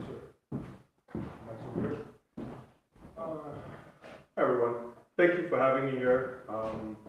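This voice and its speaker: A man's voice: mid-range voice, a little hiss or hum. A middle-aged man from Sri Lanka, raised in Africa, giving an informal, self-deprecating public talk as an insurance broker. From born in Sri Lanka, raised in Africa. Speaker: insurance broker, guest speaker. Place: public meeting room. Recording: muffled, very echoey distant room mic.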